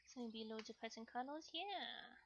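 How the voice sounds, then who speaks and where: A woman's voice: a little high, animated, brisk, dull audio. A woman chatting casually and thinking aloud as she rambles through household chores. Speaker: streamer hosting a live chores stream. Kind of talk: casual rambling chat while doing chores. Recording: clearly noisy room with household ambience.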